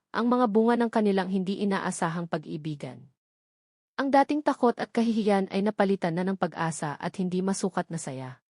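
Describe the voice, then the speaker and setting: A woman with a Filipino accent reads a scripted romantic story as an audiobook narrator, in a measured storytelling delivery. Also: mid-range voice, darkish tone, no echo, clean studio sound.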